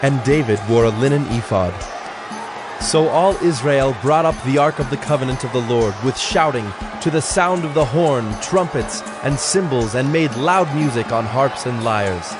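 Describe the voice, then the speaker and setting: A man giving a formal, measured, solemn narration of scripture. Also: medium pitch. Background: music.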